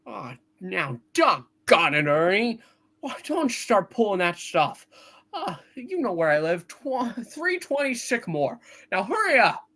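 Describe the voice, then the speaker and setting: A woman voicing a frantic, bewildered, exasperated family man, husky, in a hammed-up amateur play reading. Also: mid-range voice, big pitch swings, unhurried, fairly bright tone, heavily acted. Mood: pleading.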